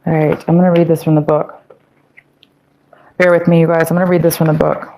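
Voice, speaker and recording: A woman's voice: sits low, little pitch movement, fairly quick, gravelly, warm tone, slight background noise, low-quality recording. A woman giving a casual tarot reading to her viewers, meandering with fillers and self-corrections, her voice getting worn. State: losing her voice. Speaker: tarot reader.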